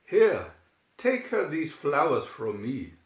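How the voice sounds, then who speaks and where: A man's voice: a little high, big pitch swings, slow, reverberant, muffled recording. A man voicing a sly, hungry storybook wolf who wheedles with false friendliness. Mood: sly, falsely friendly. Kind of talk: storybook character reading.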